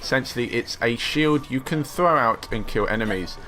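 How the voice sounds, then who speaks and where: A man's voice: mid-range voice, animated, normal speed, pushed and bright. Upbeat male games narrator. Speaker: games presenter. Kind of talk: scripted narration.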